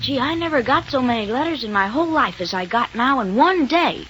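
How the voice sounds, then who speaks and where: An actress playing an excited, wholesome, wide-eyed kid gushing in an old-time radio advert. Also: female voice, sits high, a little fast. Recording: faintly noisy old radio recording.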